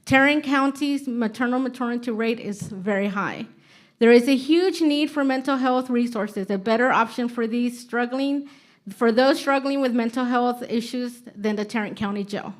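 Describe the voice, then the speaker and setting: Female public commenter, fairly high voice, delivering a prepared statement at a government hearing. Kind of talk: prepared public testimony, read out. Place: public hearing room in a courthouse.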